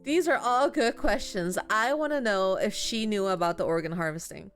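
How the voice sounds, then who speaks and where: A woman's voice: medium pitch, normal speed, faint music behind. A woman streaming a visual novel, reading the game's dialogue aloud and reacting casually.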